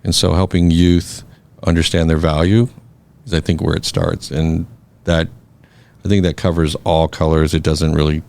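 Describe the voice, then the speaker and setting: A man's voice: extremely low pitch, flat delivery, normal speed, a little mellow. A man speaking as a podcast guest, talking things through in a reflective, easygoing way. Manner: reflective, many fillers and restarts. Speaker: guest, scholarship foundation board president. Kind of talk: casual podcast conversation.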